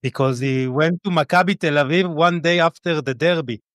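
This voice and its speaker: A man from Jerusalem hosts a football podcast with a smooth, clear voice that sits fairly high. He asks questions haltingly and fills his pauses, recorded in a dry room. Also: fairly bright tone, no echo. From Jerusalem, Israel. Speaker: podcast host. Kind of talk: casual interview chat.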